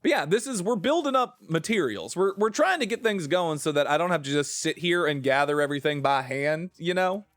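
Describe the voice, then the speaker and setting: A man's voice: on the high side, big pitch swings, brisk, hardly any pauses, fairly bright tone, dry recording. An American man chatting casually while he plays on a livestream, thinking aloud in a rambling way. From southern-adjacent United States. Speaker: game streamer. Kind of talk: casual livestream chat while playing a building game.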